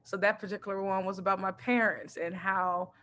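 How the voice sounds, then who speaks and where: Woman comedian from texas, bright voice, lots of laughs, acts out her mom and dad in stories. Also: medium pitch, brisk. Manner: animated storyteller, acts out quotes, laughs often. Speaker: stand-up comedian, guest. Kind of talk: casual interview chat.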